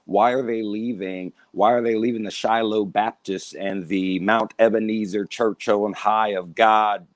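A man's voice: lowish voice, steady tone, unhurried, few pauses, dry recording. A man in his thirties, a guest in a casual discussion, speaking with an emphatic, preacherly cadence. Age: 30s.

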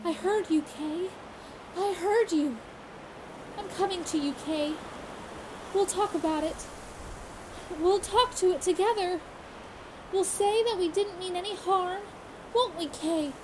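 A woman's voice: very high voice, a little slow, pauses often, background noise. A woman playing a frightened, fragile young woman in a radio horror drama, anxious and devoted, pleading breathlessly and repeating herself. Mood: desperate, calling out.

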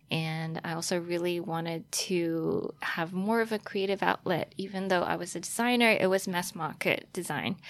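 A woman's voice: fairly low pitch, dry recording. Young woman, dry close recording. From Australia, born in Taiwan. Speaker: podcast guest, fashion influencer. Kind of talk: casual conversational interview.

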